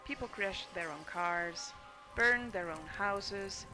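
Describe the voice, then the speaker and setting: An actress playing a cool, ambitious young insurance fraud chief, narrating a game intro in a cynical, matter-of-fact way over music. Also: female voice, mid-range voice, slow. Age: young adult.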